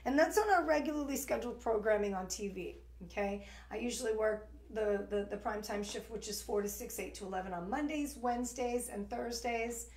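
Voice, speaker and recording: Chatty East Coast shopping host. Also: female voice, on the high side, a little fast, hardly any pauses, darkish tone, reverberant. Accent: East Coast American. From New York. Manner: chatty, rambling with fillers and restarts. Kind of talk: live shopping stream chat.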